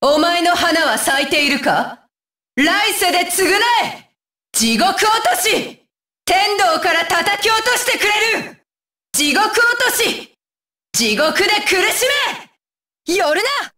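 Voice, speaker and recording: A high, dry-recorded young warrior woman from an anime fighting game. She is stern and scornful, with widely swinging pitch and a deliberate, commanding delivery. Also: animated, sharp tone, no echo, full character voice. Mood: sharp, commanding. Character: fierce, disdainful young shinobi warrior woman, dutiful and stern. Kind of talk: Japanese anime video game battle voice lines.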